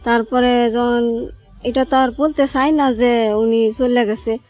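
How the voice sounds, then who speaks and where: A woman with a Bangladeshi accent telling her own story informally as a radio guest, in halting, repetitive phrases. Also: a little high, fairly even intonation, a little mellow, no echo. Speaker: interview guest, garment factory worker. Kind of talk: informal personal storytelling in conversation. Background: music under the voice.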